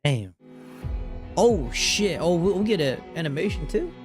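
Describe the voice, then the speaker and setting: A man's voice: a little high, big pitch swings, unhurried. A man doing casual, slangy live reaction commentary as he plays a game, swearing now and then. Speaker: gaming streamer reacting to a game. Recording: game music behind the voice. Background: game music and rain sounds.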